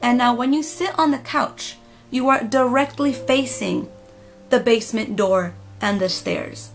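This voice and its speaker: High-voiced woman telling a story over music. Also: measured pace. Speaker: storytime vlogger. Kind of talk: casual personal storytelling of a spooky experience. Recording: music playing behind the voice. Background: background music.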